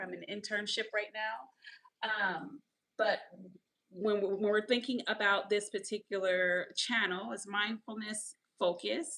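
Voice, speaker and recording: A woman in her thirties with a slightly nasal voice, hosting a live mindfulness talk. She explains slowly, repeats her definitions, and checks in often with "okay?" and "right?". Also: medium pitch, pushed and bright. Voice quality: a little nasal from allergies. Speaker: mindfulness coach and livestream host. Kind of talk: live-streamed mindfulness teaching.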